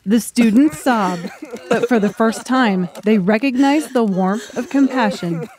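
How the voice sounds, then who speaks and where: A woman's voice: a little high, unhurried, crowd noise. A woman narrating a dramatic audiobook story in a scripted style.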